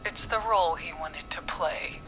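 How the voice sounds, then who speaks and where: A woman's voice: deep voice, normal speed, a bit bright, soft background music, poor audio. An actress playing a vengeful, unstable young woman in a video game, dramatic and bitter, often dropping into whispers. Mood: accusing.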